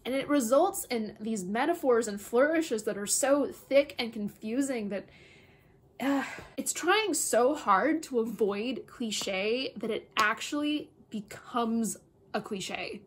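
A woman in her twenties chatting casually to camera about books, opinionated, emphatic and expressive, recorded indoors in a small room. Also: a little high, slow, slightly rough. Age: 20s. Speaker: book reviewer vlogger. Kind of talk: casual book review chat to camera.

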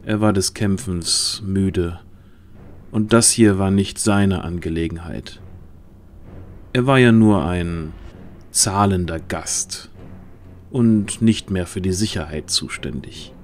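A man's voice: low voice, slow pace, long pauses, a little mellow, slight background noise. A man narrating a fantasy audio drama in a dramatic, scripted storytelling style. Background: music.

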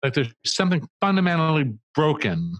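A man's voice: on the high side, big pitch swings, brisk, no echo, dull audio. A middle-aged man, a guest on an interview, explaining ideas in a thoughtful conversational way, speaking into a studio mic in a small room. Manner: thoughtful, explains with restarts and asides. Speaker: marketing agency co-founder, guest. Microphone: studio mic on a boom arm with headphones.

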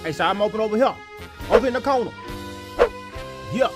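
A high-pitched man performs a comedic sports voiceover full of slangy trash talk. His delivery swings widely in pitch, and music plays behind him. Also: expressive, fairly quick.

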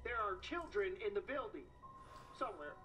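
An actor playing a mocking, sadistic young trickster in a horror video game, in a theatrical manner. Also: male voice, sits high, sing-song, normal speed, bright, forceful tone, faint music behind, heavily acted. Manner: mocking, theatrical, foul-mouthed. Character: taunting, sadistic young man, twisted game-show trickster.

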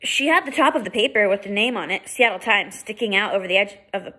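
A woman's voice: mid-range voice, lively intonation, fast, pushed and bright. Eddie, a woman voicing an earnest curious boy.

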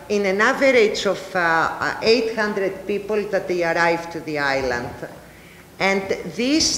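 A middle-aged woman with a Greek accent, a native Greek speaker, giving an academic panel presentation. She speaks haltingly, with frequent filler pauses. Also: on the low side, slight background noise. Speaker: university lecturer presenting research. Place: large ballroom, panel table. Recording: echoey hall with long reverb.

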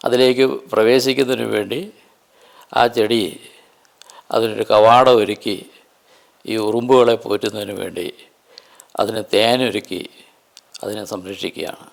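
Low, creaky-voiced man explaining nature. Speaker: nature presenter. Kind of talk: unscripted explanatory nature talk.

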